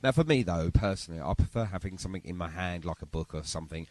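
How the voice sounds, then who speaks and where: A British man with a fairly low voice hosts a casual podcast chat. His intonation is lively and swings widely, and his way of talking is informal and chatty. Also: rapid-fire. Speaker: podcast host.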